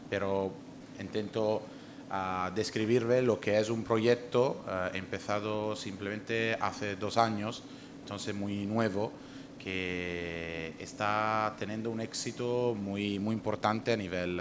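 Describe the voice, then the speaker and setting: A man's voice: lowish voice, slight background noise. A man from Italy with an Italian accent, speaking Spanish as a second language in a conference presentation as an ornithologist, hesitant with many fillers and restarts.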